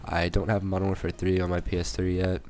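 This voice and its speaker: A young man with a cold, hoarse and a little raspy, rambling through casual gameplay commentary with many fillers and restarts. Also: deep voice, completely flat delivery, fast, a little mellow, soft background music. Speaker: gaming channel commentator.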